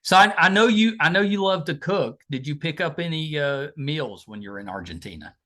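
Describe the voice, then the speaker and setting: Man, podcast host, swooping animated voice, agreeable chat. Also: on the high side, brisk. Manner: friendly, agreeable interviewer with many "yeah" replies. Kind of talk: casual interview chat.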